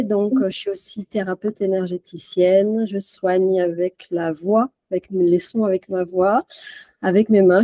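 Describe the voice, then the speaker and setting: A woman's voice: mid-range voice, warm tone, dry recording. A woman casually introducing herself and her work to a group on an online call. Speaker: therapist and trainer introducing herself.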